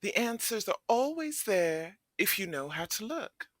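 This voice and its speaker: Audio play role: wise, loving grandma, voiced by a woman, expressive intonation, slight background noise. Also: medium pitch, a little slow. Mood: instructive. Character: loving, wise grandmother giving gentle guidance.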